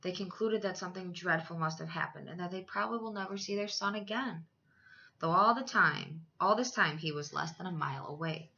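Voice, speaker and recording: A woman's voice: lowish voice, fairly quick, gravelly, bright, forceful tone, highs cut off. A woman reading a children's story aloud as a teacher, expressively, with dramatic emphasis for young listeners.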